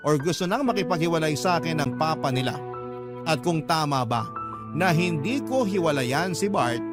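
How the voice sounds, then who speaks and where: Man, Filipino accent, slightly high voice, radio host, letter-reading storyteller, loud music bed. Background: loud background music.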